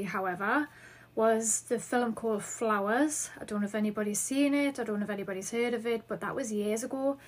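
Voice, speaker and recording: Upbeat Geordie woman podcast host. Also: a little high. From northeast England. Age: 30s. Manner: chatty and upbeat, answering listener questions. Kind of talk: solo podcast monologue.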